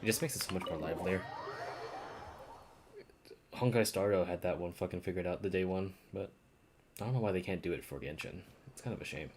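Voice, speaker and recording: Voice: sits low, fairly quick, long pauses, background noise. A game streamer giving casual playthrough commentary, informally musing aloud. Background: game music, keyboard typing.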